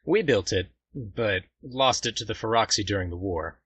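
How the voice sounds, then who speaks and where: Man acting a forceful, commanding young prince. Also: on the low side, expressive, normal speed, breathy edge, dry recording. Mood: matter-of-fact. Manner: formal, firm, commanding. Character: proud, exasperated young male prince and commander. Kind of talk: fan audio drama acting.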